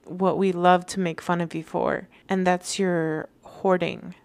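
A woman's voice: lowish voice, little pitch movement, unhurried, dry recording. A woman chatting casually as a podcast co-host, with a teasing way of talking. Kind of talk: casual chat.

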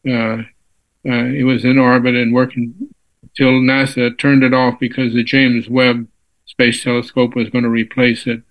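A man in his nineties from Texas, a physicist guest in an interview, talking slowly with many fillers and restarts. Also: medium pitch, little pitch movement, fairly bright tone, low-quality recording. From Texas, USA. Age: 90s. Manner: unhurried, halting with frequent fillers and restarts. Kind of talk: casual interview.

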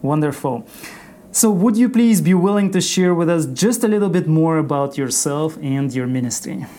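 Mellow-voiced male podcast interviewer. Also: a little high, expressive, normal speed. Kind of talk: formal, scripted interview hosting.

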